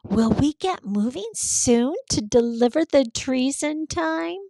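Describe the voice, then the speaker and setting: A woman voicing a small, hopeful little sleigh, high-pitched. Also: animated, takes it slowly, gravelly, mellow, no echo, exaggerated voice. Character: small hopeful young female red sleigh, eager and wistful. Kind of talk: storybook character voice for children.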